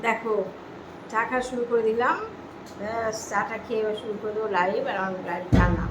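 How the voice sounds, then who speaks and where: A woman's voice: fairly high pitch, brisk. A middle-aged woman chatting casually to her viewers on a vlog, talking to them directly and repeating herself as she goes. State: out of breath from walking. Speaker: vlogger. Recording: echoey with loud background noise.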